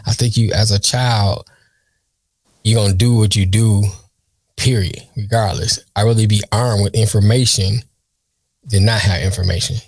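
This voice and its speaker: Rambling, musing man with a dry low voice. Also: fairly even intonation, normal speed, long pauses, darkish tone, no room sound. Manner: musing, rambling, laughs often. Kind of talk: casual chat.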